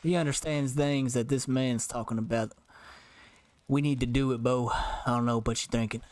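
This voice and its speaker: A shifty frontier henchman played by a man in a roleplay game talks in a folksy, colloquial way. The mid-pitched voice is recorded close and dry, with no room sound. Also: steady tone, normal speed, no echo, lightly acted. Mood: sly. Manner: folksy, colloquial, repeats phrases. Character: laconic, shifty frontier henchman who keeps secrets. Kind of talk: improvised western roleplay in character.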